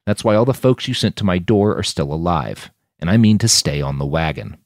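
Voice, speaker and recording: A man performs a wary old soldier who has sworn off killing, in an audiobook. He is skeptical and wry, his low voice moving expressively in a dry, close recording. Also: lively intonation, fairly quick, no room sound. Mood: dryly matter-of-fact. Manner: skeptical, dryly matter-of-fact. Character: wary, wry, reformed male killer, superhuman old soldier. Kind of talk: audiobook character dialogue.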